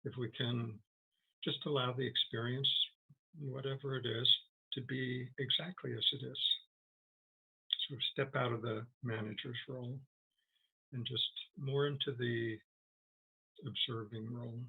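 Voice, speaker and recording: A man leading a guided meditation as a teacher, speaking gently and haltingly with long, unhurried pauses. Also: mid-range voice, fairly even intonation, creaky, husky, rough recording.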